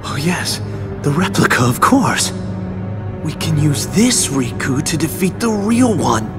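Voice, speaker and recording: A man's voice: fairly high pitch, very animated, unhurried. An actor playing a haughty, scheming young male villain in a video game cutscene. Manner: haughty, scornful. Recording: loud ominous music behind.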